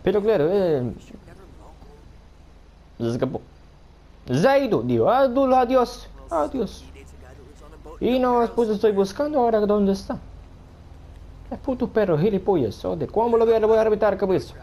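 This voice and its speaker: A man's voice: high voice, animated, unhurried, pauses often, dark tone, full character voice. A man doing a crude boastful rich guy. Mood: brash. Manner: swears constantly, broken grammar, brash and rude. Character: crude boastful foreign rich man, foul-mouthed, arrogant. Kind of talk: gameplay commentary in character.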